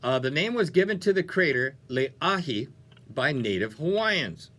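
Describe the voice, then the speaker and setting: Bright, upbeat male radio DJ. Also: on the high side, measured pace. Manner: playful, animated, conversational. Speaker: radio DJ, show host. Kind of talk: upbeat music radio DJ patter. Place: radio studio.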